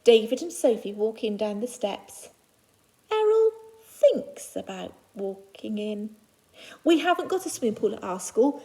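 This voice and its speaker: A woman's voice: a little high, mellow, poor audio. A woman teacher reading a children's picture book aloud to young pupils, phrasing it simply and adding reassuring asides in her own words.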